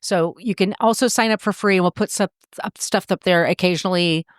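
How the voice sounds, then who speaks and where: A woman's voice: on the low side, brisk, no room sound, studio quality. A woman co-hosting a podcast in casual, jokey chat, swearing freely. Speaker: podcast co-host.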